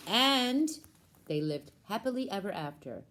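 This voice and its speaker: A woman's voice: medium pitch, very animated, a little slow, a bit bright. A woman storyteller narrating a children's puppet show.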